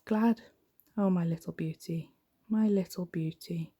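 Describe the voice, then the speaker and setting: A woman's voice: fairly low pitch, steady tone, a little slow, warm tone. A woman voicing a poor, anxious, loving merchant father in a whispery bedtime storybook reading. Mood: tender, troubled. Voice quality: whispery, breathy.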